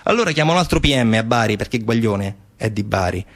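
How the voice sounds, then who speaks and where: A man's voice: on the low side, expressive, quick pace. A man delivering a theatrical narrative monologue in a conversational way, like an actor telling a story on stage. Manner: acts out quoted voices, conversational; rhetorical questions to the listener.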